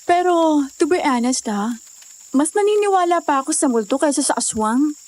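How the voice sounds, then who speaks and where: A woman's voice: sits high, lively intonation, moderate pace, darkish tone. A woman with a Filipino accent acting a fearless, frank young hiker in a conversational audio drama. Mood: frank, easygoing.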